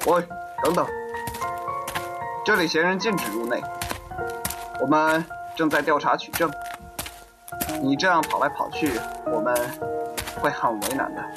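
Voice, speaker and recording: An actor in a radio drama playing an officious, put-upon police officer who admonishes people formally. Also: male voice, a little high, a little slow, pauses often, music in the background, natural voice. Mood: stern, exasperated.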